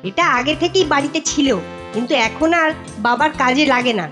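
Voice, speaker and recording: Cartoon village kid: high voice, crafty, persuasive plotter, children's music behind. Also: female voice, a little fast, fairly bright tone. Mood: scheming.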